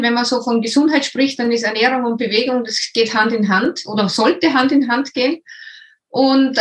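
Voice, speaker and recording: A woman's voice: a little high, a bit bright. A middle-aged woman with an Austrian accent, talking casually as an interview guest. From Upper Austria and Salzburg region, Austria. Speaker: interview guest, health and nutrition coach. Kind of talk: casual self-introduction in an interview.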